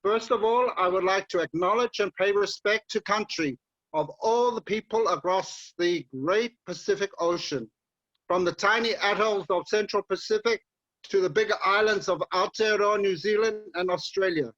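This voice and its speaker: High-pitched guy reading a formal welcome, kinda muffled video call audio. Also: fairly bright tone. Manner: formal, measured greetings. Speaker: board member opening the seminar.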